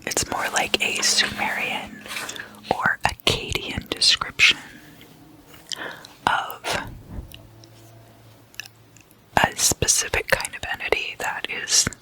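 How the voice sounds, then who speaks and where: An ASMR host speaking in a breathy, unvoiced whisper, informal and playfully chatty. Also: takes it slowly, bright, forceful tone, low-quality recording. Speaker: ASMR host explaining demon folklore.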